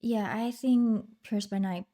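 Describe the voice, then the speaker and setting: A woman's voice: on the high side, steady tone, mellow. A woman from Vietnam with a Vietnamese accent, speaking English as a podcast guest, chatting casually with fillers and restarts. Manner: unhurried, with fillers and restarts, laughs often. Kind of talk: casual interview chat.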